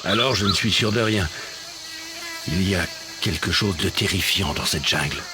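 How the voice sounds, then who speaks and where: A man's voice: deep voice, moderate pace, noisy background, lightly acted. An actor playing a wary, haunted male crash survivor in a video game, speaking.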